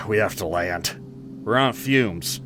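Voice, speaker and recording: A man playing a steady aircraft captain in an audio drama, dry and matter-of-fact with his crew. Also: on the low side, slow pace. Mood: steady, commanding. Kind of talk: naturalistic audio drama acting. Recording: faint aircraft engine noise.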